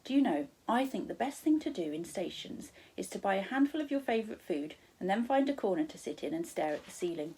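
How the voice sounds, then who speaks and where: Storybook guardian, read by a woman: kindly, whimsical gentleman, unruffled, quaint and reassuring. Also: mid-range voice, big pitch swings, brisk, few pauses, slight background noise, lightly acted, low-quality recording. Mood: calm, cheerful. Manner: reassuring, quaint turns of phrase. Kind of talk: children's storybook read aloud.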